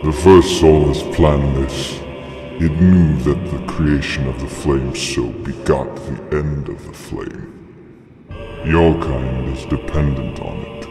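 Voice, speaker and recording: A man voicing an ancient dragon elder in a comic dub, speaking in a solemn, ominous and deliberate storytelling manner. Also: rumbling low, steady tone. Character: ancient male dragon elder, grave and prophetic. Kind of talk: dramatic comic dub acting. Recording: long cavernous reverb under music.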